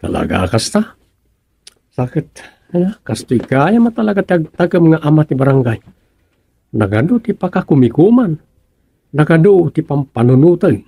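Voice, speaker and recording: A man acting a sleepy, good-humoured village captain in an Ilocano radio comedy drama, bantering and musing with a husky, creaky voice. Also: a little high, big pitch swings, moderate pace, pauses often, dark tone, dry recording, exaggerated voice. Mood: defensive banter.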